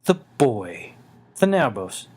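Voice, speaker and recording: A man voicing a huge old wolf creature for a fantasy storybook, grave and weighty, with a rumbling tone. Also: sing-song, slow pace, a little mellow, breathy edge, heavily acted. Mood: shocked. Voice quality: deep rumble.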